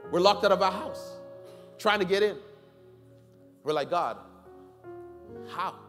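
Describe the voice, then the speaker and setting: Middle-aged man, pastor, sermon, animated storytelling, reverberant sanctuary, faint music. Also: sits high, takes it slowly. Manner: animated storytelling, swings from loud pleading to quiet. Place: large church sanctuary, preaching from the stage.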